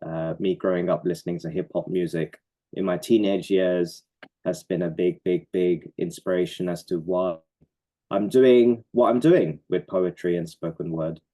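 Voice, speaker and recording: A man with a low, warm voice speaks in a reflective, earnest way, answering interview questions about his life as a poet and organizer. He pauses on fillers now and then and laughs softly. His tone stays calm and sincere. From Norway.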